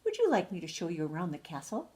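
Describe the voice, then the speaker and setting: A woman's voice: fairly low pitch, wildly swooping pitch, quick pace, mellow, pitched below the performer's own voice. A woman voicing a small, cheerful storybook goldfish who longs for a pet, with delighted exclamations. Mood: friendly, inviting.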